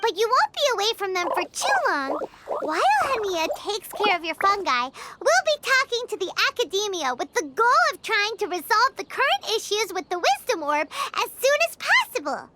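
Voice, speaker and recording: A woman voicing a small, childlike, cheeky and bubbly fairy companion in an animated video game style. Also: very high voice, normal speed, very noisy. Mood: excited announcing. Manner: bubbly, refers to herself in third person.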